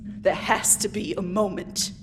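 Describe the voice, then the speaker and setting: Woman playing a slow-spoken, vengeful western widow over music. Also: medium pitch, fairly even intonation, lightly acted. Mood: cold, resolute. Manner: slow, deliberate, menacing. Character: grieving, vengeful widow in the old west. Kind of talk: western audio drama acting. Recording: loud music behind the voice.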